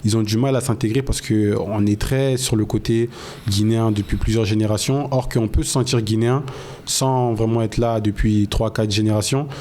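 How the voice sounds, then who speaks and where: A man's voice: on the low side, steady tone, moderate pace, dark tone, slight background noise. A man hosting a podcast interview, asking questions in a casual, hesitant way with many fillers. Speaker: podcast host.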